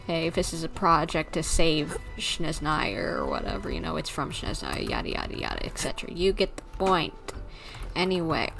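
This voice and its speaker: A woman livestreaming a video game, chatting casually and thinking aloud in a rambling, informal way. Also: medium pitch.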